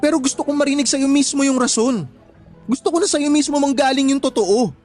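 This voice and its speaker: Clingy heartbroken boyfriend, high male voice, pleading, insistent, radio drama, faint music. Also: brisk, a little mellow. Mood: hurt, insistent. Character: desperate, pleading jilted boyfriend clinging to his ex. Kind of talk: emotional radio drama dialogue.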